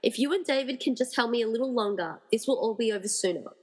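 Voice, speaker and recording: Woman as anxious determined heroine, radio play, highish voice, earnest, wry, long reverb. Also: quick pace, darkish tone, natural voice. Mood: worried, pleading. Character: anxious, determined woman hero rescuing her friends. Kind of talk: amateur radio-play acting.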